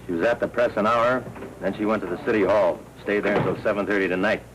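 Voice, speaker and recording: Man as American noir henchman, very low voice, businesslike, old film track with faint noise. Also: fairly even intonation, quick pace, a bit bright, natural voice, poor audio. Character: businesslike gangland henchman reporting to his boss. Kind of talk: 1940s film noir acting.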